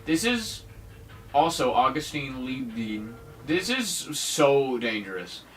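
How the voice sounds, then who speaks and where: A man's voice: medium pitch, sing-song, slow, recorded from a distance, a little hiss or hum. A man giving jokey, rambling let's-play commentary on a game, with mock-dramatic outbursts. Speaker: gaming video host.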